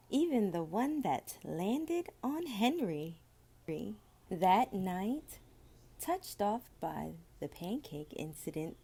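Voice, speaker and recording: Female storybook reader for children's tales: unhurried, very varied intonation, expressive and deliberate. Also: on the low side, husky. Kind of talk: children's picture book read-aloud.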